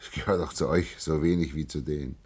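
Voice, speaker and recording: Male stranger from another world, very low voice, husky, weary, disillusioned, German sci-fi radio play. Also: fairly even intonation, fast, darkish tone. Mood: weary, detached. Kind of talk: German science-fiction radio drama dialogue.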